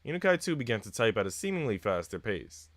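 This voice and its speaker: A man's voice: on the low side, very animated, fast, dry recording. A man on a live stream, reading visual novel text aloud and chatting casually with viewers. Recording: noisy mic with typing sounds.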